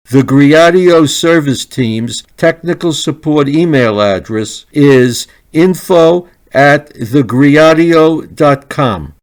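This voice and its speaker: A man reading a scripted, step-by-step product review in a measured, instructional manner. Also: medium pitch.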